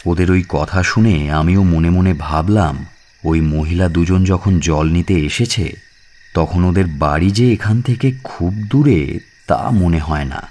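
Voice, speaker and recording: A man's voice: low-pitched, a little slow, warm tone. A man, reading a detective story in the first person as scripted dramatic audiobook narration.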